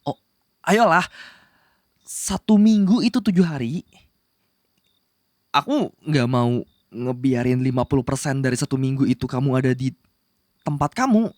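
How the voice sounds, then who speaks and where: A woman's voice: rumbling low, animated, unhurried, pauses often, darkish tone, no room sound, studio quality. A woman playing a possessive, lovestruck young male demon prince, bossy yet flustered, in a romantic roleplay spoken to the listener. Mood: bargaining, firm. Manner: insistent and flustered, pleading between commands. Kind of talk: romantic ASMR roleplay acting addressed to the listener.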